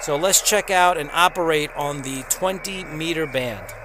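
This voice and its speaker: A man's voice: on the high side, measured pace. A man, presenting an amateur radio vlog in an explanatory way. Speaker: host of an amateur radio channel. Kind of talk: vlog narration with radio call-sign operating. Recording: clearly noisy recording.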